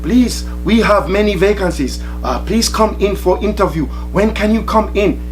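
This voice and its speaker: A man's voice: high voice, normal speed, voice pushed up, natural voice. Courteous Japanese male interviewer, clipped phrases, voice lifted, as a man imitates him; music bed. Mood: eager. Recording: music playing underneath.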